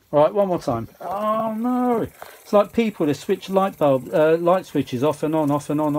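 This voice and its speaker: A British man giving casual, rambling, self-mocking commentary as he talks to himself during a repair at an indoor workbench. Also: on the high side. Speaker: vintage audio repair hobbyist and video maker. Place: indoor workshop bench. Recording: steady machine noise behind voice.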